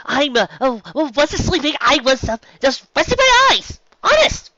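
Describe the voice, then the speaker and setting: Woman doing a loud, blurting young pegasus filly. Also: high voice, sing-song, normal speed, no room sound, muffled recording, voice pushed up, full character voice. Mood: startled, defensive. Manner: blurting, stammering. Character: brash young filly pegasus, sleepy and defensive.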